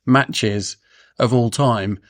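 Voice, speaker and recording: A man's voice: mid-range voice, slow, no room sound. A man on a casual football podcast who answers the teasing with dry, sarcastic wit. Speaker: podcast guest.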